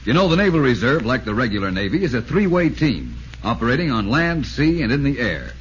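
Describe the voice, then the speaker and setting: A man's voice: medium pitch, sing-song, a little fast. A man reading scripted announcements in 1940s radio style. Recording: dull, lo-fi old radio, faint noise.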